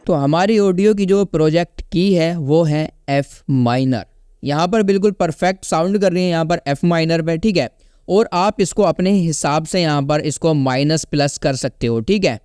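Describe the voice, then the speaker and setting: A man's voice: a little high, normal speed, a little mellow, clean tone, no echo, studio quality. A man hosting a casual step-by-step tutorial, instructing and often checking in with the listener. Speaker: tutorial host.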